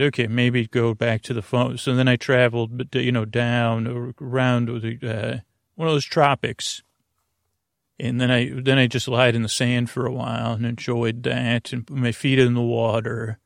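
Male sleep-podcast host: gravelly, creaky, mid-pitched, halting and digressive, lulling bedtime delivery. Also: no echo, clean studio sound.

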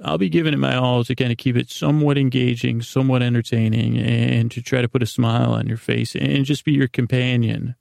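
A man hosting a bedtime podcast in a meandering, halting monologue full of tangents, with a gravelly, creaky voice. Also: mid-range voice, little pitch movement, darkish tone, no room sound, studio quality. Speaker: podcast host.

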